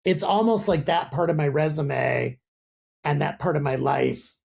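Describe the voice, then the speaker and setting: Gravelly, husky-voiced male podcast host. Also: on the high side, measured pace. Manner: animated, warm affirmations and laughs. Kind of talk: casual interview chat.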